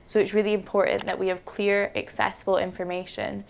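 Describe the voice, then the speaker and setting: A woman's voice: mid-range voice, little pitch movement, fairly quick, gravelly, a little hiss or hum. A woman giving an earnest short statement to the camera, with casual fillers.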